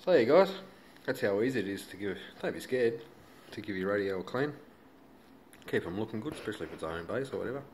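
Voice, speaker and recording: A man with a low voice and an Australian accent talks through a hands-on job in a casual, laid-back way. His wording is colloquial and unpolished. There is faint noise on the handheld home recording. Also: lively intonation, quick pace, darkish tone. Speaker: hobbyist demonstrator. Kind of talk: casual hands-on how-to commentary. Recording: noisy handheld home video.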